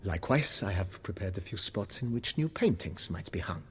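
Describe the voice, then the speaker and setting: Estate majordomo for a fantasy game: servile, ornately polite, lively swooping intonation. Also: male voice, sits low, moderate pace, breathy edge, full character voice. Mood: polite, deferential. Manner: formal, ornate, servile phrasing. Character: courteous, deferential male estate majordomo, eager to please. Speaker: voice actor. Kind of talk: video game cutscene acting.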